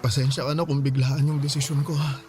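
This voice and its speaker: An actor playing a gentle, self-sacrificing young student boyfriend, earnest and sorrowful, in a Tagalog radio drama. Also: male voice, medium pitch, flat delivery, fast, faint music behind, lightly acted. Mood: sad, resigned. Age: young adult. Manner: soft-hearted, earnest, sorrowful.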